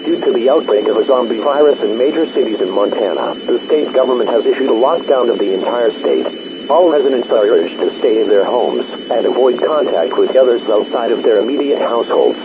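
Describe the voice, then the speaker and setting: A man's voice: mid-range voice, animated, a little fast, long pauses. Male announcer, official and impersonal scripted public warning, dull lo-fi broadcast sound. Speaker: emergency alert announcer. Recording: dull lo-fi emergency broadcast audio.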